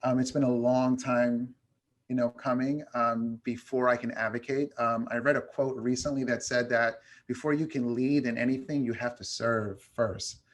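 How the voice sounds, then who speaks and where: A man from New York whose first language is Spanish, talking casually and reflectively as an interview guest, with frequent pauses. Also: medium pitch, fairly even intonation. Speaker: guest, education consultant.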